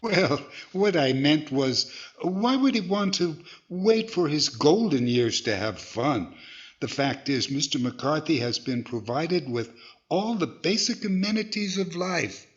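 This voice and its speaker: A man's voice: on the high side, lively intonation, unhurried, muffled recording. A man playing an earnest, hesitant ventriloquist boss, the flustered straight man of a 1940s radio comedy. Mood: earnest protest. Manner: hesitant, earnestly self-defending.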